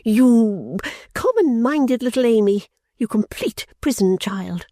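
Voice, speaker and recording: A woman reader voicing a haughty, sharp-tongued young woman who scolds her sister in a shrill, indignant tone. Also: big pitch swings, measured pace, breathy edge, dry recording, clean studio sound. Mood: scornful.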